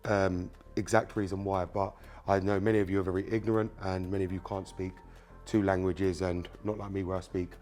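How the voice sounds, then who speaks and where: A fairly low-voiced man whose first language is Spanish walks viewers through a car repair step by step. He talks loosely and directly to "you guys," with plenty of ums along the way. Faint music plays underneath. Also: flat delivery, brisk, darkish tone. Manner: casual, repeats filler words like "um" and "obviously". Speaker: car mechanic channel host. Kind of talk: hands-on repair tutorial.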